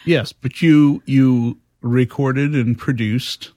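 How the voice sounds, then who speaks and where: A man hosts a relaxed podcast conversation. His voice sits at an ordinary pitch and he talks haltingly, feeling his way through sentences. The sound is dry, with little room in it. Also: no room sound. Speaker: podcast host and interviewer. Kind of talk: casual podcast chat.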